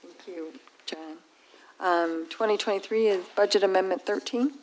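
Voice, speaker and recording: A woman chairing a township board meeting who is losing her voice, moving briskly through motions and votes. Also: mid-range voice, low-quality recording. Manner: brisk procedural calls for votes. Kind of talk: running a public meeting.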